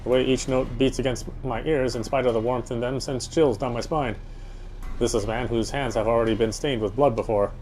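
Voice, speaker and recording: A man's voice: medium pitch, fairly even intonation, brisk, a little mellow, slight background noise, low-quality recording. A man reading a horror visual novel aloud as first-person narrator, with a dramatic, tense delivery.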